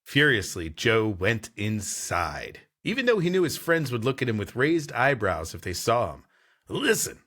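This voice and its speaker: A bright-toned man reads narration and trades jokes on a comedy podcast, his pitch lively and bouncing. He laughs easily between lines. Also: moderate pace, hardly any pauses. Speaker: co-host and story reader. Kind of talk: comedy podcast reading with banter.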